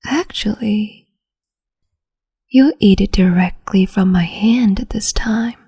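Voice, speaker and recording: A woman playing a cruel, capricious empress in an ASMR roleplay, whispering in a slow, teasing, domineering way. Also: mid-range voice, mellow, exaggerated voice. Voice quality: breathy whisper.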